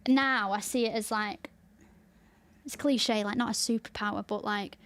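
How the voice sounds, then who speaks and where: A woman's voice: mid-range voice, fairly even intonation, measured pace, fairly bright tone. A woman with a Lancashire accent, hosting a podcast in open, chatty talk and laughing easily. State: tired after a 24-hour stream. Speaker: podcast host. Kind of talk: casual chat.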